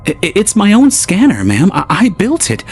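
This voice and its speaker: Brief: stammering young man, played by a man, anxious and pleading, pitched well up, a bit louder, audio drama. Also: huge pitch swings, normal speed, faint music behind, heavily acted. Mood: nervous.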